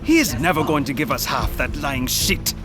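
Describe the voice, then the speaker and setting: An actor playing a proud, stubborn pirate captain in an audio drama, speaking in a terse, defiant, urgent way. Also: male voice, a little high, animated, moderate pace, music in the background, lightly acted. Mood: bitter, resigned.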